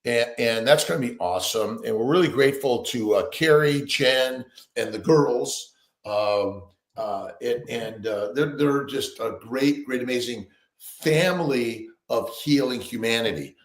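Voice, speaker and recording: A man's voice: mid-range voice, measured pace, reverberant. A man hosting a casual live-stream chat, rambling and upbeat, with many restarts. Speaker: host.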